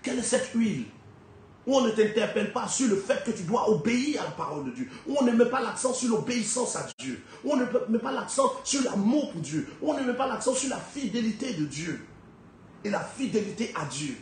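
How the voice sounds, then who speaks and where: A man preaching a sermon with a head cold, firing off rhetorical questions and swinging sharply between loud high peaks and low, quiet drops. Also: very animated, brisk. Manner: emphatic, rhetorical questions, big swings in pitch and loudness. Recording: very echoey hall, faint background noise.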